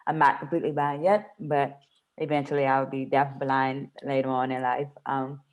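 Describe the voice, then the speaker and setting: A woman's voice: rumbling low, little pitch movement, moderate pace, lo-fi sound. A woman introducing herself as a panelist, speaking emphatically and repeating her phrases for weight. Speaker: panelist, dancer.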